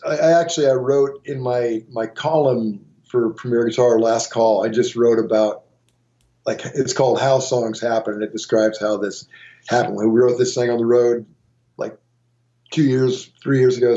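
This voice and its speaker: A man's voice: mid-range voice, fairly even intonation, moderate pace, dark tone, lo-fi sound. A middle-aged man from Montana, a guitarist and songwriter, telling rambling stories in a casual interview with many restarts. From Montana, USA.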